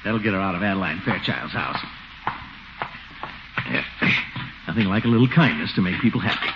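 Blustery pompous bachelor, low swooping voice, American, old radio comedy, noisy recording. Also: male voice, animated, moderate pace, muffled recording. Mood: scheming. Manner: pompous, chuckling, sputtering. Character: blustery, scheming, easily flustered middle-aged bachelor head of household. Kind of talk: 1950s radio sitcom acting.

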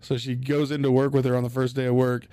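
A man's voice: mid-range voice, flat delivery, fast talker, mellow, clear, resonant tone, no echo. A man on a comedy podcast, chatting casually with crude, joking anecdotes.

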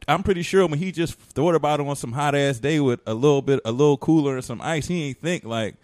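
A man's voice: on the high side, fast, a little mellow, dry recording. A man co-hosting a podcast, arguing casually in slangy, insistent talk. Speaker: podcast co-host. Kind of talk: casual group debate.

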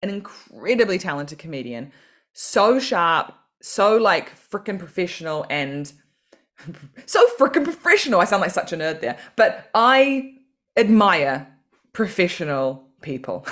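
A woman's voice: medium pitch, very animated, unhurried, a bit bright. A woman from New Zealand, a comedian and podcast guest, talking in a chatty, self-deprecating way and laughing often. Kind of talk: casual podcast interview.

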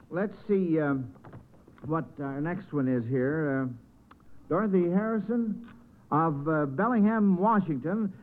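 A man with an American accent hosting an old-time radio quiz in a folksy, encouraging, chatty manner. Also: a little high. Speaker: quiz show host. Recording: muffled narrow-band vintage radio recording.

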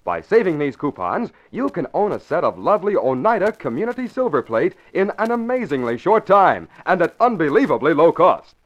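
A man with an American accent reading scripted announcements and sponsor pitches in 1940s radio style. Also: big pitch swings, a little fast, hardly any pauses. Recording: dull old 1940s radio recording.

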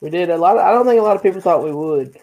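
A man's voice: high-pitched, lively intonation, racing, lo-fi sound. A man co-hosting a podcast who jokes around and hypes things up in casual chat. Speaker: podcast co-host.

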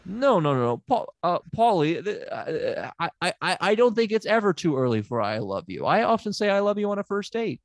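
A man's voice: sits high, big pitch swings, brisk, few pauses, no room sound. A man playing a smug, unqualified therapist who rambles and stammers in an improvised comedy sketch. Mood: earnest. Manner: rambling, stammering, self-assured.

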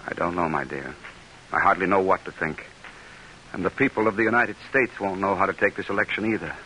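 An actor playing a middle-aged senator, grave, earnest and formal in delivery, in an old-time radio drama. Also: male voice, sits low, fairly quick, bright, forceful tone, poor audio. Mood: troubled, resigned. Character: defeated middle-aged senator, earnest, weary, devoted statesman. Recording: hissy vintage radio broadcast recording.

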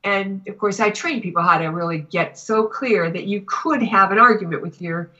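A woman chatting casually as a talk show guest and teacher, telling anecdotes in an animated way. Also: medium pitch, normal speed, muffled recording.

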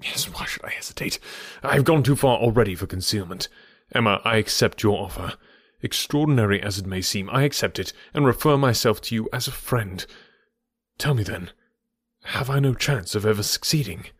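A man voicing a grave gentleman who speaks in a low, subdued, earnest way. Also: moderate pace, husky, no room sound, clean studio sound.

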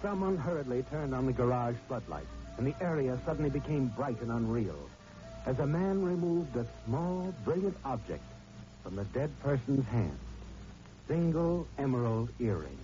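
An actor playing a grave storyteller, narrating a mystery in an old-time radio drama. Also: male voice, medium pitch, animated, moderate pace, warm tone, soft background music, natural voice. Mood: grave, suspenseful. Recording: dull old radio recording.

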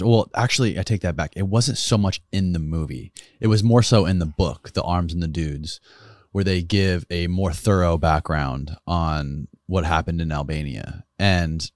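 A man hosting a podcast, asking curious and thoughtful questions in a relaxed conversational way. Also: deep voice, dry recording, studio quality. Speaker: podcast host.

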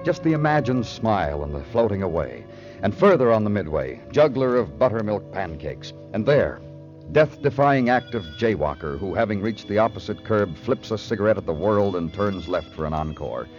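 Low-voiced, world-weary radio noir detective. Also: male voice, big pitch swings, normal speed, darkish tone. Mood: wistful, brooding. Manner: brooding, clipped, lyrical delivery. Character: world-weary, poetic New York police detective narrating his case. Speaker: lead actor in a radio crime drama. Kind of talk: 1950s radio noir acting and narration. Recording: dull old radio recording with music.

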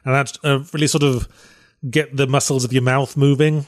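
Deep-voiced man, dry wit, dry close mic. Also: little pitch movement, moderate pace, no echo. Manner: dry, self-deprecating humor. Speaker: podcast co-host. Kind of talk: casual chat.

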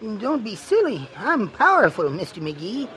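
Very high male voice, timid little braggart, hesitant, animated, old radio comedy. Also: normal speed, heavily acted. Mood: proud, earnest. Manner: hesitant, earnest boasting. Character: timid, meek little man bragging of his strength. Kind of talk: 1950s radio comedy acting. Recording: old radio broadcast with music behind.

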